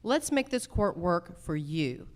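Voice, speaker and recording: Female candidate at a debate forum, slightly low voice, emphatic delivery. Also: big pitch swings, slow pace. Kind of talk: political forum answers.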